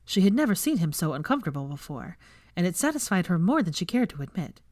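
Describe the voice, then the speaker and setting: Low-voiced, animated woman storyteller. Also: quick pace, no echo, clean studio sound. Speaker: fan fiction narrator. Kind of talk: expressive scripted story narration.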